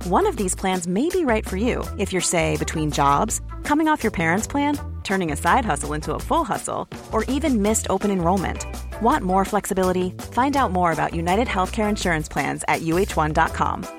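A woman's voice: lowish voice, expressive, a little fast, soft background music. A woman delivering a scripted advertisement voice-over. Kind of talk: scripted ad read.

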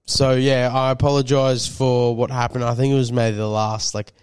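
A man's voice: medium pitch, little pitch movement, normal speed, a little mellow, clear, resonant tone, no echo. A man co-hosting a podcast, a bit nasal from a cold, rambling with lots of fillers and swearing. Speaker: podcast co-host. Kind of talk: casual chat.

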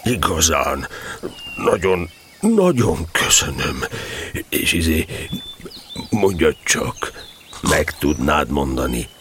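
A man playing a gloomy, slow-talking toy donkey in a children's audio drama, halting and mournful. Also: a bass voice, sing-song, fairly bright tone, slight background noise, full character voice. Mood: gloomy, touched. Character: gloomy, slow, deadpan old toy donkey, easily moved.

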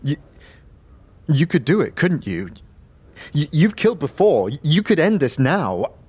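A man's voice: a little high, big pitch swings, measured pace, a little mellow, slightly rough, pitched above the performer's own voice, full character voice. A man voicing a frightened, desperate young man who pleads and stammers, in an audio drama with music behind him. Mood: frantic pleading.

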